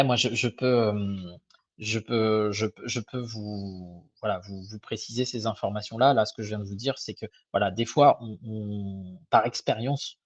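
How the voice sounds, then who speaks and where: A young man with a slightly hoarse voice gives a casual webinar presentation as an advisor, hesitant and informal, with many fillers and restarts. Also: lowish voice, no room sound. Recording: dull, lo-fi web call audio.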